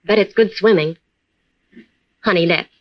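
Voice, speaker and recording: A woman's voice: on the low side, unhurried, close to an everyday voice. A woman playing a playful, eager young wife who teases fearlessly, in old-time radio drama style. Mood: coaxing, teasing. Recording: muffled vintage radio recording, heavy noise.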